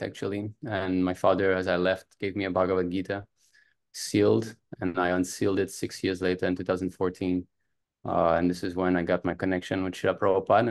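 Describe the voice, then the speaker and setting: A man in his thirties from Canada, a native French speaker, telling his personal story as an interview guest. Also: low voice. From Montreal, Canada. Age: 30s. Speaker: podcast guest.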